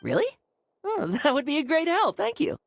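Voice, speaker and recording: A woman's voice: sits high, wildly swooping pitch, moderate pace, faint music behind, heavily acted. An actress voicing an earnest young female knight messenger, polite and eager.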